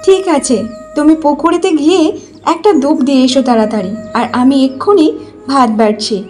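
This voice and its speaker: Woman playing high-voiced practical village wife. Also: expressive, fairly quick, music in the background. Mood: caring. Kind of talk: Bengali cartoon voice acting.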